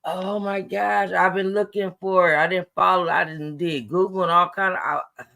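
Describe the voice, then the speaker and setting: A woman with a raspy voice calling in to a talk show, giving blunt, opinionated takes in casual slang. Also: lowish voice, steady tone, moderate pace, bright, forceful tone. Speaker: caller. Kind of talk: casual phone-in chat.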